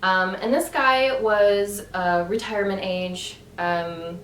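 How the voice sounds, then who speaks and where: A woman's voice: mid-range voice, takes it slowly, fairly bright tone, slight background noise. Chatty woman, echoey and distant. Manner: chatty, many fillers and restarts. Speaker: vlogger. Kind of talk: casual storytelling vlog. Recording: echoey room from a distance with faint ambience.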